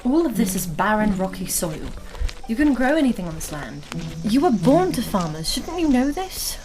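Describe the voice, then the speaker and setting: A woman's voice: on the high side, sing-song, normal speed, low-quality recording. A woman playing a wry, sceptical spellcasting adventurer in a fantasy audio drama. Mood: wry, teasing. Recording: music behind the voice.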